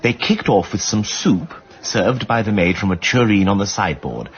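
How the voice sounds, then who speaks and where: Male actor as gentleman sleuth, upper-class English, low swooping voice, emphatic dry-witted storyteller, radio play. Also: lively intonation, normal speed, muffled recording, close to an everyday voice. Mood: measured recounting. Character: well-bred amateur sleuth gentleman, animated and insistent. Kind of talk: British radio drama acting.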